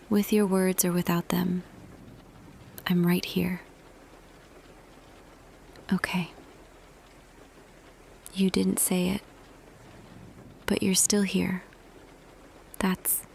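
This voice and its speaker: A woman playing a tender female boss confessing love to an employee in an intimate roleplay audio, hushed and hesitant, slipping between whisper and soft voice. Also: lowish voice, flat delivery, drawn-out words, gravelly, pauses often, a little hiss or hum, heavily acted.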